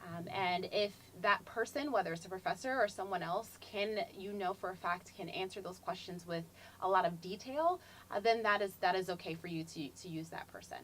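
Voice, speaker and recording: The woman who runs a campus admissions Q&A, reading out questions and giving measured advice over faint room noise. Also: fairly low pitch. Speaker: admissions Q&A host. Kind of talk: informal explanatory advice.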